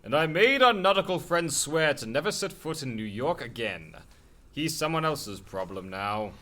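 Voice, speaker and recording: Radio drama superhero male actor: earnest swashbuckling hero, bright tone, very animated intonation. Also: on the high side, normal speed.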